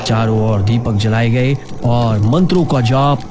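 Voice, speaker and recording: A man narrating a cartoon story in a slow, dramatic storytelling manner. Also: medium pitch, noisy background. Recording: loud music underneath.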